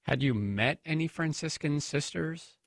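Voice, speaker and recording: A man's voice: on the high side, a little slow, creaky, dry recording, studio quality. A man hosting an interview show, introducing and questioning a guest. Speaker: radio show host and deacon.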